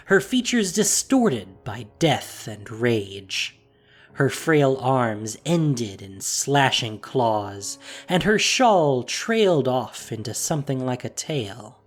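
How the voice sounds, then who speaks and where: A man reading a fantasy story aloud in a measured, expressive way. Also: mid-range voice. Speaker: narrator. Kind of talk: storybook narration.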